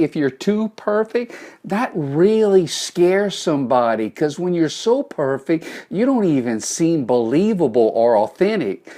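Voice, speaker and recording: A man's voice: on the high side, warm tone. Folksy Cajun man streaming live. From South Louisiana. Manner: folksy, greets viewers by name, jokes. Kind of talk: live motivational talk to viewers. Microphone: phone.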